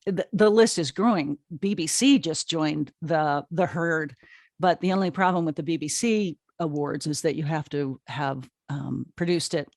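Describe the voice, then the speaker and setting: A middle-aged American woman with a fairly low voice talks as a guest in an interview. She tells things in a casual, emphatic way and often doubles back on her phrases. The sound is dry, with hardly any room. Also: moderate pace, darkish tone, no room sound. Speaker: interview guest, audio drama producer and writer. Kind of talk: casual conversational storytelling in an interview.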